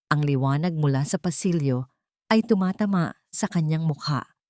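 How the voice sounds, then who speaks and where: A woman's voice: deep voice, a little mellow, dry recording. A woman with a Filipino accent narrating a dramatic scripted story as an audiobook reader.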